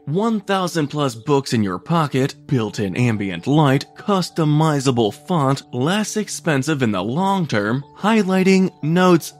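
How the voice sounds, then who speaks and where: A man reading a written article aloud as scripted narration, in measured, separate phrases. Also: medium pitch.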